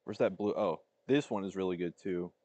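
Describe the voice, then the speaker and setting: A man's voice: deep voice, fairly quick, warm tone, dry recording. A man giving casual, off-the-cuff reaction commentary as a livestreamer. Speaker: livestreamer reacting to fan-made videos.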